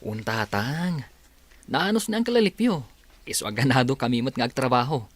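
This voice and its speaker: A man's voice: medium pitch, expressive, normal speed, no echo, natural voice. A man playing an earnest, respectful young adult son in an Ilocano radio drama, with an Ilocano accent. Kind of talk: radio comedy-drama acting.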